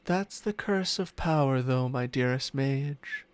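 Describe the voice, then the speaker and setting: A man's voice: mid-range voice, slow pace, smooth, no echo, close to an everyday voice. A man voicing a smug, seductive ancient dragon in humanlike form for an ASMR roleplay, teasing and haughty in formal words, with murmurs that slip into whispers. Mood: knowing, provocative. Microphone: close microphone, dry sound.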